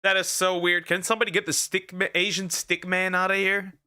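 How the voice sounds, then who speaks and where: Emphatic, bright-voiced young streamer guy. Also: high-pitched, little pitch movement, brisk, slight background noise. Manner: blunt and emphatic, repeats "no" over and over, swears freely. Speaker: vtuber streamer reacting to clips. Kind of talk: casual reaction commentary.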